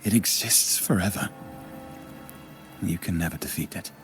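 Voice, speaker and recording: An actor playing a calm, idealistic poet in a video game, speaking in an earnest, measured, eloquent way. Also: male voice, sits low, faint music behind. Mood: earnest, resolute. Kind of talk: dramatic game voice acting.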